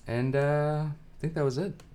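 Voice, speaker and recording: A man's voice: a little high, steady tone, a little slow, dark tone, smooth. A man from the Boston area co-hosting a podcast, teasing and laughing often in casual chat. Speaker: podcast co-host. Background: music playing.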